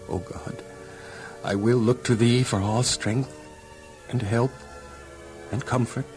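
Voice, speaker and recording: A man playing an earnest, devout young slave lad with halting, emotional delivery in an audio Bible drama. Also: mid-range voice, close to an everyday voice.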